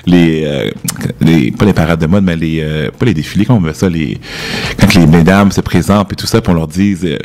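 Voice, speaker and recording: A man's voice: deep voice, fairly quick, darkish tone. A man with a Québécois French accent hosting a show, chatting casually with a guest. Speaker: show host. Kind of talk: casual interview chat.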